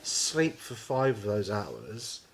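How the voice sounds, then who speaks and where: A man's voice: fairly low pitch, wildly swooping pitch, takes it slowly. A man in his twenties co-hosting a podcast, chatting casually, rambling and swearing offhandedly. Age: 20s. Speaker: podcast co-host. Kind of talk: casual chat.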